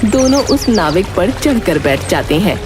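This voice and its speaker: A woman's voice: medium pitch, sing-song, moderate pace, over music. A woman narrating a scripted dramatic tale as the storyteller.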